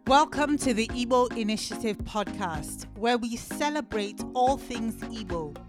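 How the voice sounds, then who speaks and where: Higher-voiced woman hosting a podcast. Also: lively intonation, a little slow, over music. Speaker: podcast host. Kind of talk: scripted intro then friendly interview.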